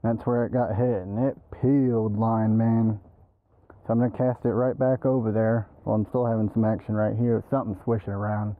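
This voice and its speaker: A young American man chatting casually and excitedly in an informal, slangy way, like an angler vlogging outdoors. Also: fairly low pitch, moderate pace, dry recording. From East Texas, United States. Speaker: angler filming a fishing video. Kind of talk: casual outdoor vlog chat. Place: outdoors at a swampy pond bank. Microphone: body-worn action camera. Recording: muffled narrow-band action-camera audio, outdoor noise.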